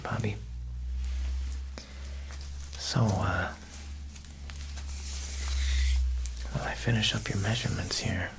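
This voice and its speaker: A young man playing a smooth, quietly menacing Italian mob boss tailor in an ASMR roleplay, speaking softly and unhurriedly at a close mic, with insinuating pauses. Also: fairly low pitch, a little hiss or hum, low-quality recording. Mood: attentive, courteous. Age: young adult. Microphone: close ASMR microphone.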